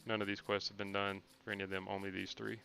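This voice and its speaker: A man's voice: lowish voice, flat delivery, quick pace, pushed and bright, soft background music. A man giving casual commentary on his own video game playthrough, often muttering asides to himself. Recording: clearly noisy background.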